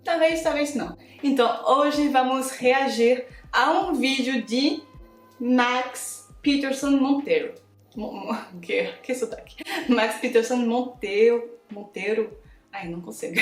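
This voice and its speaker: A French woman with a French accent, a native French speaker, hesitantly introducing her reaction vlog with small laughs and stumbles. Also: high-pitched. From France. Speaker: YouTuber. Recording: roomy echo with soft background music.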